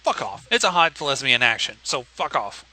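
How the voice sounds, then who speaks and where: A man's voice: medium pitch, very animated, normal speed, bright, forceful tone, poor audio. A man giving blunt, crude, casual reaction commentary as co-host of a story reading.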